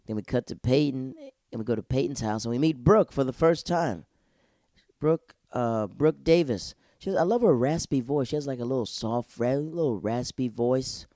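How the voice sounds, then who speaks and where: A man's voice: medium pitch, normal speed, mellow, no room sound, clean studio sound. A young man hosting his own podcast alone, reviewing a TV episode in a rambling, slangy, digressive way. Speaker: solo podcast host.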